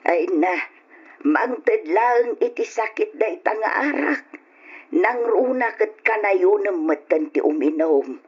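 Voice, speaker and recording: An actress playing an older, concerned mother pleading with a grown son in an old radio drama, husky-voiced. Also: mid-range voice, lively intonation, takes it slowly, lo-fi sound.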